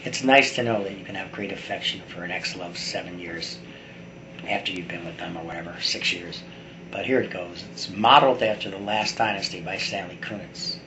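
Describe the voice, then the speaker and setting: A man's voice: low-pitched, fairly quick. A middle-aged man giving a rambling, blunt and opinionated personal monologue, like a video blogger talking to his camera. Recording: echoey room with faint background noise.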